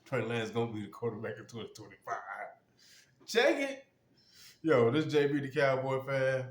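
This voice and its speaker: Sniffly sports-fan host in a roomy space. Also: male voice, mid-range voice, very animated, normal speed. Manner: loose, slangy, swears freely. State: sniffly with a cold. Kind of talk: casual sports commentary. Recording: roomy sound with faint music.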